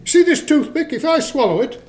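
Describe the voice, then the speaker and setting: A bitter old miser, played by a man in a radio play, pitched high for a man. He grumbles and blusters with theatrical scorn, a grumpy businessman through and through. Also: expressive, moderate pace, darkish tone. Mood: nervous, defiant.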